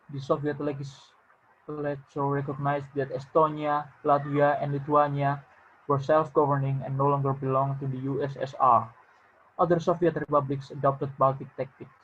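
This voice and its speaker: A young man with an Indonesian accent reading a class presentation aloud, careful and flat, restarting words he trips over. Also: mid-range voice. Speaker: student presenter. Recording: dull lo-fi audio with faint noise.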